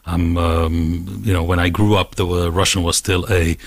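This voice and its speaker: A middle-aged man with a Hungarian accent, a guest rabbi and editor answering interview questions in a halting way with many fillers. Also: low voice, little pitch movement, gravelly, dry recording.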